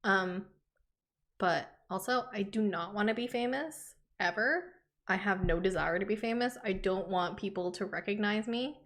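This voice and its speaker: A woman's voice: mid-range voice, moderate pace, a bit bright. A young adult woman chatting informally as a podcast co-host. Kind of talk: casual chat.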